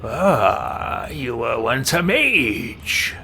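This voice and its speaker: A man acts out a curious fantasy adventurer from a role-playing game. The hero speaks earnestly and deliberately, probing with questions in a mid-pitched male voice, with faint noise behind. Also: creaky, full character voice. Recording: steady background noise.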